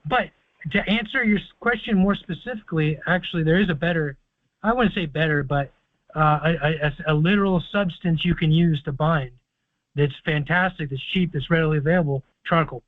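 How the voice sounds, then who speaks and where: Guy hosting a call-in, kinda high voice, tinny phone line, dry, explains a lot. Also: fairly quick, no echo. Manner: confident, explaining at length with fillers. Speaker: host of a call-in conference line. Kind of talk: casual call-in advice talk. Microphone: phone or conference call.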